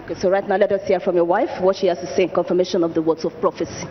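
A man interviewing people about their testimony in a large church hall, asking brisk, prompting questions into a handheld mic. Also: high-pitched, fairly even intonation, noisy background. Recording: dull echoey hall sound.

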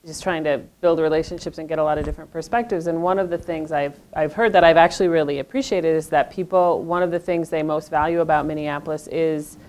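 A woman with a notably low voice speaks on a panel in a lecture room. She is earnest and searching, restarting her sentences as she works out her thoughts. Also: fairly even intonation, fast, hardly any pauses, darkish tone. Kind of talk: panel discussion.

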